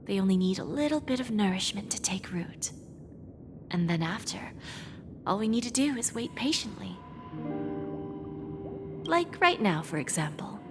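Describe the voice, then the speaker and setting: A woman voicing a poised, shrewd female pawnshop boss in a video game, deliberate and teasingly courteous. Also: medium pitch, very animated, big-room sound. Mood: measured, knowing. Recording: background music under the voice.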